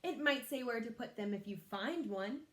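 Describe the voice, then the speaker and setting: A woman's voice: on the high side, animated, normal speed, a bit bright, roomy sound. A woman voicing a bossy, scornful boy in a storybook, with a dismissive, commanding manner.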